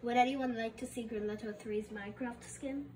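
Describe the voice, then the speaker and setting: A woman's voice: fairly high pitch, normal speed, far from the mic, background noise, natural voice. A woman voicing a boastful alien who speaks of itself in the third person, with a Russian accent. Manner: refers to self in third person, proud and deadpan. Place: bedroom with a computer.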